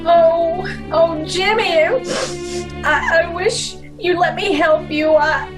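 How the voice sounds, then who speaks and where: A woman acting a devoted young man's sweetheart in a radio play, with a very high voice and slow delivery. She is earnest and sorrowful, faltering as she speaks. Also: over music. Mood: anguished, loving. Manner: halting, earnest, repeats herself. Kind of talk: old time radio drama acting.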